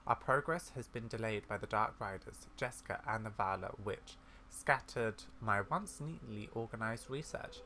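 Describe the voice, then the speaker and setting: A man's voice: on the low side, moderate pace, bright, forceful tone, a little hiss or hum. A man voicing a kindly, bookish old granny scholar from a video game, speaking in a theatrical, wordy storyteller's way. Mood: measured, regretful.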